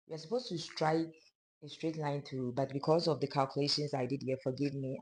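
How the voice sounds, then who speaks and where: A sewing instructor with a hoarse, fading voice, calmly narrating a pattern-drafting tutorial step by step. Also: medium pitch, slight background noise, rough recording. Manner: calm, step-by-step explaining of measurements. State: hoarse, losing voice.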